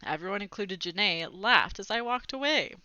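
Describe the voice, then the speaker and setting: A woman reading a children's book aloud in an expressive, dramatizing way, with chatty asides. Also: mid-range voice, brisk, no echo, muffled recording. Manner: animated, dramatizing dialogue, commenting to young listeners. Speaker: read-aloud storyteller.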